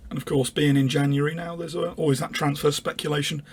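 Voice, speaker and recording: A man's voice: on the high side, level tone, quick pace, breathy edge. A man working as an interviewer, putting prepared questions to a guest.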